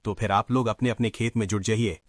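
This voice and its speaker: A man's voice: fairly low pitch, fairly even intonation, fairly quick, dry recording, clean studio sound, close to an everyday voice. A man voicing a clever young son from a village in a cartoon story, who talks in a confident, reassuring way.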